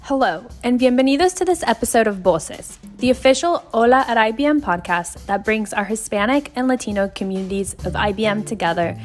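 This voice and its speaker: A woman's voice: on the high side, a little fast, few pauses. Woman announcer reading over faint music. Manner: formal, even read. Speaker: podcast announcer. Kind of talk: scripted podcast intro and disclaimer.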